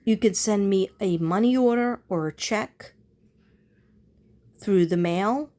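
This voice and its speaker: Female vlogger, mid-pitched, slow delivery, informal and meandering, thinks out loud to viewers. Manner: unhurried, meandering, thinking aloud. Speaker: psychic reader and vlogger. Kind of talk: casual rambling vlog talk to viewers.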